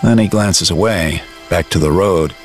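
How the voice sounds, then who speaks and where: A man narrating an audio drama in a measured, reflective storytelling style with dramatic pauses. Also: sits low, soft background music.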